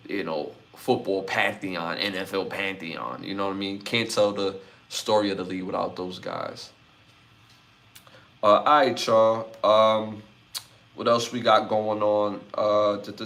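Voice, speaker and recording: A man in his forties hosting a podcast, closing a livestream with relaxed chat and shout-outs to viewers by name. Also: fairly low pitch. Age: 40s. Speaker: podcast host. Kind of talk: casual livestream sign-off chat.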